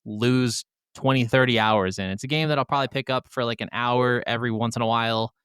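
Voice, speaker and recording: Clear-voiced man chatting in a dry, close recording. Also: medium pitch, steady tone, fairly quick, fairly bright tone, no room sound. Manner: casual, colloquial, with swearing. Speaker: podcast co-host. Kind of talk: casual chat about video games.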